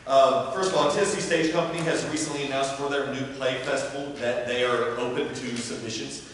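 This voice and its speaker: A man speaks as host of a theater evening, giving informal announcements to those gathered. His voice sits at a medium pitch and rings heavily through a large hall. It is picked up from a distance, with faint noise underneath. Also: fairly even intonation, a little fast, a bit bright. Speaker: theater company director hosting event. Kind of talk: informal event announcements to an audience.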